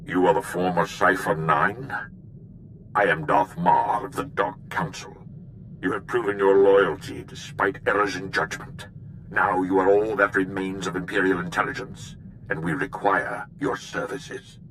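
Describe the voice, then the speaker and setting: An actor playing a stern, commanding dark lord of a galactic empire in a video game, speaking in a grave, formal, imperious manner. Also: male voice, deep voice, fairly even intonation, measured pace, slight background noise, rough recording. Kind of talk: dramatic video game acting.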